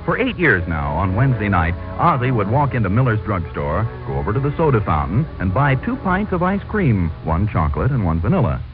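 A man announcing in polished, theatrical 1940s radio style, delivering scripted narration and sponsor pitches. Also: sing-song, a little fast, hardly any pauses, phone-quality sound. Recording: thin band-limited old radio broadcast, music behind. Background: music.

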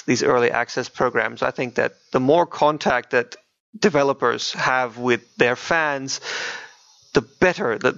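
A man's voice: medium pitch, gravelly, fairly bright tone, dry recording. A man hosting a casual gaming podcast, talking in an enthusiastic, conversational way. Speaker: podcast host and moderator. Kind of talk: casual podcast chat about video games. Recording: slightly dull, lo-fi audio.

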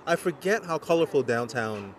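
A man's voice: mid-range voice, lively intonation, moderate pace. A man who is a native New Yorker, co-hosting a podcast and chatting in a reflective, enthusiastic way at an outdoor city restaurant. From New York. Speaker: podcast co-host. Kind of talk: casual chat. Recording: faint street traffic noise behind.

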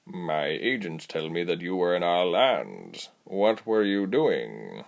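Man voicing a slow, low, purring fat cat chief. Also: expressive, gravelly, full character voice. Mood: slow, menacing calm. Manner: slow, drawn-out purring delivery. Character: weary fat tabby-cat beast chief, male, purring hunter. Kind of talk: audiobook character voice.

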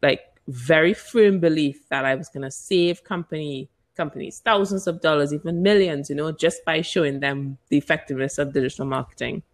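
A woman in her forties from Trinidad with a Trinidadian accent, answering interview questions as a marketing agency owner. She talks in an animated way, laughs often and stretches words for emphasis. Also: deep voice, a little fast, few pauses. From Trinidad and Tobago.

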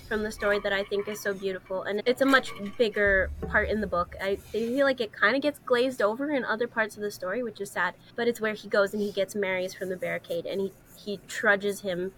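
Female podcast co-host, bright clear-cut delivery, earnest and conversational, some noise in the background. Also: mid-range voice, steady tone, fairly quick, few pauses, low-quality recording. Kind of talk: casual discussion of stories and faith.

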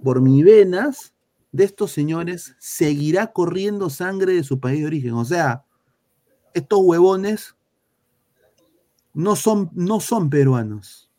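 A man's voice: fairly high pitch, expressive, slow pace, dark tone. A man from Peru with a Peruvian Spanish accent, ranting bluntly and crudely as a football talk host. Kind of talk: heated casual football talk.

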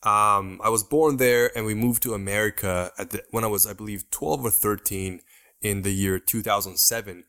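A man's voice: fairly low pitch, normal speed. Earnest young man from Iran. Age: 20s. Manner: steady and earnest, with frequent ums. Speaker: guest YouTuber. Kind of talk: interview answers.

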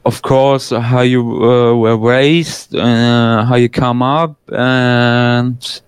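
Slow, halting man. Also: medium pitch, little pitch movement, clear, resonant tone, dry recording. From Germany. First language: German. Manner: hesitant, many fillers and restarts, swears freely. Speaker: guest. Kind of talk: casual conversation.

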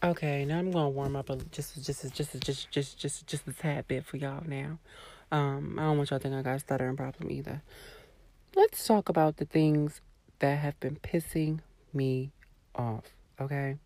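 A woman YouTuber ranting casually to her viewers, sassy and blunt, with a hoarse voice and a lot of cursing. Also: deep voice, steady tone, normal speed, mellow, no echo. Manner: sassy, blunt, swears freely, talks straight to viewers. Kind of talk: casual vlog rant to camera.

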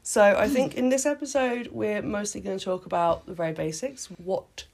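A woman in her late thirties from Britain, hoarse with a cold, chatting casually as a podcast co-host and often restarting her sentences. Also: medium pitch, fairly even intonation, moderate pace, a little mellow. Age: late 30s. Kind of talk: casual chat. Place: sitting on the floor in a home play fort.

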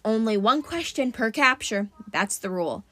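An aggrieved old man of the sea from a fantasy storybook, acted out by a woman. He groans and wails in drawn-out words, sly and humiliated, with a high-set, swooping pitch and very dry, close sound. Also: expressive, pushed and bright, no echo. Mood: humiliated, grumbling.

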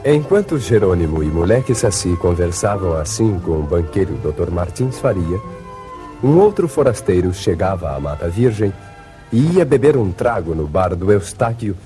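A male narrator of a 1950s radio drama. His low, mellow voice swings dramatically in pitch as he declaims the script, with faint music behind him. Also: big pitch swings, normal speed. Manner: theatrical, declamatory.

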